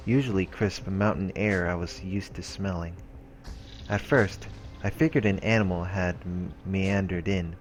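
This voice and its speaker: A man reading visual novel text aloud as a let's play host, in a casual way with offhand asides. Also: deep voice.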